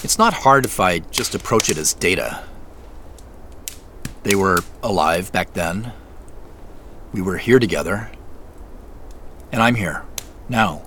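Over noticeable outdoor ambience comes a wry, wistful man in an audio drama. His voice sits fairly low and moves in lively swings. He talks naturally and easily, keeping deeper feelings guarded. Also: takes it slowly, long pauses, a little hiss or hum, heavily acted. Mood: guarded, sorrowful. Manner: easygoing, self-joking, guarded about feelings. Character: wistful, wry man on a road quest, grieving parents.

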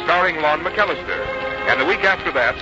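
A man's voice: a little high, animated, brisk, over music. A man reading scripted announcements and advertising as a 1950s radio announcer. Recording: dull 1950s radio recording.

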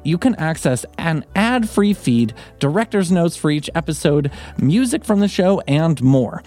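A man's voice: on the high side, a little mellow. A man reading scripted podcast credits and listener requests in a friendly announcer style. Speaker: credits announcer and sound designer.

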